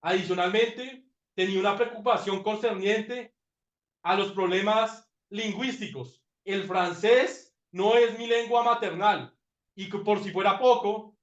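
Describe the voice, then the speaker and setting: A man's voice: sits high, fairly bright tone. A man presenting his doctoral thesis in a master class, talking reflectively with frequent fillers and repeated words. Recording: roomy, dull lo-fi sound.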